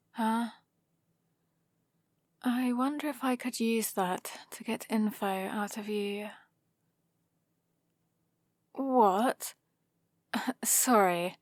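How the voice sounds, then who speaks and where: A woman's voice: mid-range voice, dry recording, lightly acted. A British woman playing a haughty supervillain in an ASMR roleplay, dry, sardonic and commanding, with a grudgingly caring streak, close to the microphone. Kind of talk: ASMR roleplay, acted monologue to the listener.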